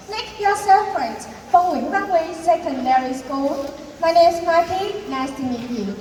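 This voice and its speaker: Woman, Vietnamese accent, school talk show host, rehearsed delivery, high pitch, echoey hall with noise. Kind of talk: school stage role-play in English. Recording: echoey hall, distant mic, background noise.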